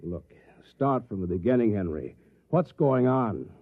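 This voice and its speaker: An American actor in old-time radio drama, playing a tense, bewildered middle-aged suburban husband with an urgent, questioning manner. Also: male voice, fairly low pitch, very animated, a little slow, exaggerated voice. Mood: puzzled, insistent. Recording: tinny, band-limited vintage radio recording.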